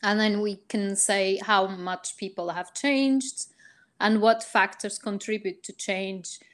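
A woman's voice: medium pitch, steady tone. Woman explaining patiently. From Portugal. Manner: measured, explanatory, with frequent ums and rephrasing. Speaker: guest, research psychologist. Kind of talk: podcast interview.

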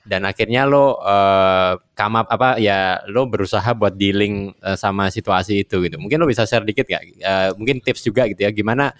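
Male podcast host, relaxed, lower voice, dry close recording. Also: normal speed, dry recording. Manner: relaxed, prompting others to share. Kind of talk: casual podcast chat.

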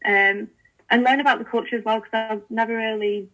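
A woman's voice: fairly high pitch, steady tone, brisk, fairly bright tone, poor audio. A young woman, a podcast guest, chatting enthusiastically with lots of fillers and restarts. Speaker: podcast guest, language learning founder. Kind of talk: casual interview chat.

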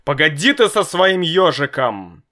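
A man voicing a nervous, hot-tempered father in a storybook reading, loud and exasperated. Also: fairly high pitch, very animated, takes it slowly, voice pushed up, heavily acted. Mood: agitated.

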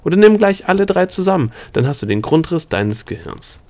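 A wild little gnome with shifting scales, lecturing and cackling as he works himself into a rant, as a male narrator puts him on. His voice climbs high and loud when he gets excited. He is the guide of a storybook adventure. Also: fairly quick. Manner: cackling, lecturing, worked up into a rage.

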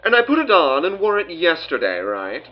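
A woman's voice: fairly low pitch, huge pitch swings, normal speed. A woman playing an entitled, oblivious customer in a comic audio drama, breezy and self-important over a phone. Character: entitled, oblivious retail customer, demanding parent. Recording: muffled lo-fi phone call.

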